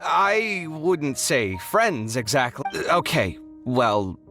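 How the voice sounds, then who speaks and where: Flustered, very animated young man. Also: medium pitch, slow, over music. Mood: awkward, apologetic. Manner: expressive, fretful, theatrical. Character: flustered, dramatic, easily offended young man. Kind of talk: video game voice acting.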